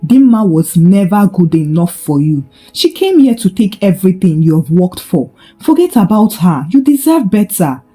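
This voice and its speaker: Woman acting a scheming, mellow-toned older mother-in-law. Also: fairly low pitch, very animated, moderate pace, few pauses, soft background music. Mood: soft, manipulative. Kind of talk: dramatised folktale storytelling.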